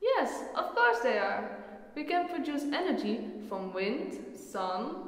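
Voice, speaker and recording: Woman acting earnest high-pitched explainer, heavy echo. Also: very animated, takes it slowly, heavily acted. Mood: encouraging, instructive. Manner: explanatory, instructive. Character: knowledgeable, earnest female friend explaining energy patiently. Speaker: character in a student educational film. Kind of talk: scripted educational dialogue. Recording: very echoey hall-like reverberant room.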